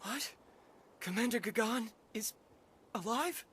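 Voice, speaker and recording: A man playing an earnest, emotionally fragile young male alien in a video game cutscene, speaking in a halting, heartfelt way. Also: very high-pitched, fairly bright tone, slight background noise, exaggerated voice. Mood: stunned hope. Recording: game music behind the voice.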